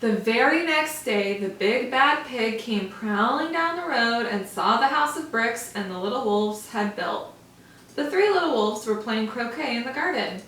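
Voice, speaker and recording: A woman's voice: fairly high pitch, animated, a little slow, fairly bright tone, slight background noise. A woman teacher reading a picture book aloud to young children, stopping to explain words. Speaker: first grade teacher. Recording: echoey room, mic far off.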